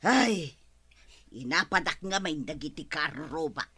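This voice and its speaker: A woman playing a quarrelsome, hot-tempered village woman with an Ilocano accent in a broadcast comedy drama, given to scolding and drawn-out exclamations. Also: deep voice, lively intonation, sharp tone, slightly rough, full character voice. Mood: sulky, subdued.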